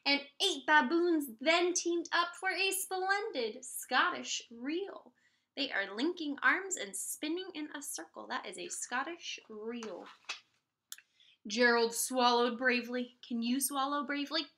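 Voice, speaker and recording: Casting: female preschool teacher, storybook read-aloud, slow and expressive, high-pitched, prompting kids with questions. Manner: expressive, drawn-out words, prompting children with questions.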